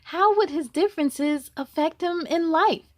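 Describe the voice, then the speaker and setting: A woman with a high voice reads to children in an animated, expressive way. She takes her time and slips in chatty asides and questions for her listeners. The sound is close and dry, with little trace of the room. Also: unhurried, dry recording. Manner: animated, exclaiming, gasping and musing, asking listeners questions. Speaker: story-time host reading a picture book aloud to children.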